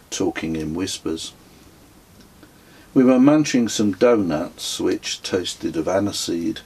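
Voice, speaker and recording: A middle-aged man reading his own travel memoir as an audiobook, in a measured, dryly wry manner. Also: deep voice, darkish tone, breathy edge, poor audio. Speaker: author reading own book.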